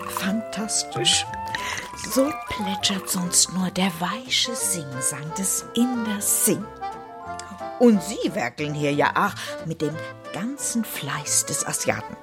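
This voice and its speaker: Woman voicing an excitable lady, lowish voice, animated, exclaims, music behind. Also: slow. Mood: eager. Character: excitable woman puzzling over a mystery.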